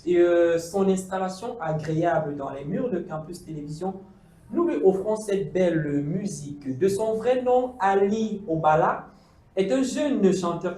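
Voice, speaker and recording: A man with a Cameroonian French accent hosting a studio talk show, with formal, unhurried introductions full of fillers. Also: high-pitched, a little mellow, recorded from a distance. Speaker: host. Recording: echoey room, voice off-mic.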